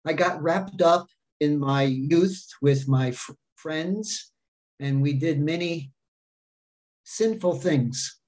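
A man in his fifties telling his personal faith testimony in short phrases, pausing for an interpreter. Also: fairly high pitch, darkish tone. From America. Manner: speaks in short phrases with pauses and fillers. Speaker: guest speaker sharing testimony.

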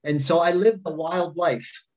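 Man, fifties, faith testimony, short halting phrases, somewhat high voice. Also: fairly even intonation, darkish tone. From America. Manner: speaks in short phrases with pauses and fillers. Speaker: guest speaker sharing testimony. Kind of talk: personal faith testimony, paused for interpreter.

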